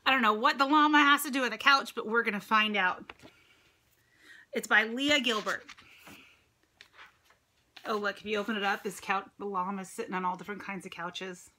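A woman's voice: mid-range voice, fairly even intonation, normal speed, long pauses, pushed and bright. A middle-aged American woman reads a picture book aloud to children, expressively, with chatty asides and questions to her listeners. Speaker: storybook reader, teacher reading to children. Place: indoors at home, room with dresser and ceiling fan. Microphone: phone or tablet at arm's length.

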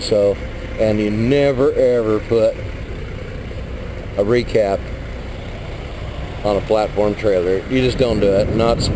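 A man, a truck driver, talking casually and rambling as he walks around his flatbed load outdoors, recorded on a body-worn camera. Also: medium pitch, background noise. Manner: rambling, plain-spoken, mild cursing. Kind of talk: casual walkaround vlog. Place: outdoors at a truck lot beside a flatbed trailer. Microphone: body-worn action camera.

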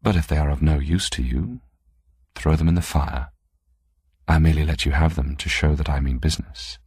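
A man voicing a poised, imperious woman collector in an audiobook, calm and calculating, with formal, commanding speech. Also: rumbling low, normal speed, husky, no room sound, clean studio sound, exaggerated voice. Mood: dismissive.

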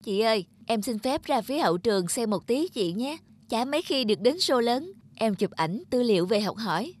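Gushing, high-voiced young designer. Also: female voice, very animated, normal speed, slightly rough, no echo, studio quality. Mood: excited. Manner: gushing, polite. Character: starry-eyed, eager young female fashion designer. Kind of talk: cartoon dubbing.

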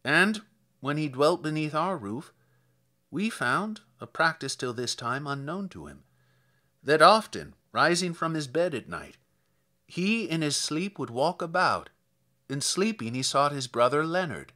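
A man performs a kindly old village priest in a dramatic poetry reading. The voice is fairly high and bright, with an animated melody. The telling is measured, solemn and full of sorrow. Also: heavily acted. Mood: sorrowful.

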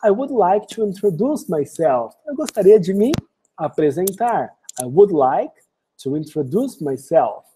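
A man with a Brazilian Portuguese accent teaching English phrases in a lesson, saying example sentences slowly and deliberately, then translating them. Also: high-pitched, big pitch swings, mellow, slight background noise, poor audio. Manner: slow, deliberate model phrases alternating with translations. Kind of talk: screen-recorded language lesson.

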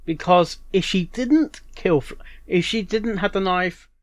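A man with a slightly high voice gives casual, rambling commentary as a reaction host. He speaks haltingly, often repeating and restarting phrases. The sound is very dry, with no room echo.

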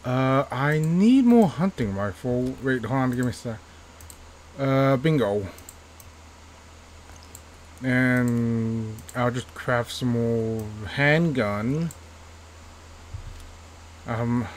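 A man's voice: medium pitch, slight background noise. A man giving casual let's-play commentary, chatty and self-mocking, repeating himself when things get tense. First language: not English, by his own joke. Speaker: gaming YouTuber.

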